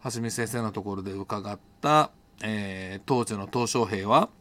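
A man's voice: lowish voice, a little slow, fairly bright tone. Middle-aged man reflectively telling personal stories. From Japan. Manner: hesitant, thinking aloud, self-correcting. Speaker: host telling personal stories on an audio show. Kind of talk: unscripted reflective storytelling monologue.

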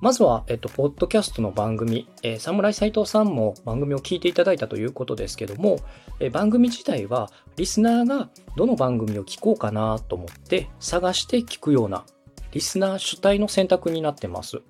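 A man's voice: fairly high pitch, very animated, fairly quick, darkish tone, slightly rough. A man in his thirties from Osaka hosting a podcast, explaining things politely and step by step to a co-host. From Osaka, Japan. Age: 30s. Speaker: podcast host and radio director. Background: music.

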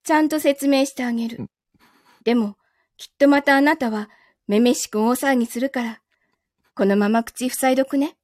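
A woman's voice: sits high, moderate pace, pauses often, a little mellow, dry recording, studio quality, lightly acted. A woman playing a betrayed, possessive young lover in a live audio drama, with a Kansai Japanese accent and a controlled, coldly teasing manner. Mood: bitter, possessive.

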